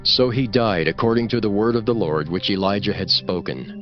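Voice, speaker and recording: A man reading scripted scripture narration for a dramatized audiobook in a solemn, measured way. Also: lowish voice. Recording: slightly dull audio with music behind. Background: music.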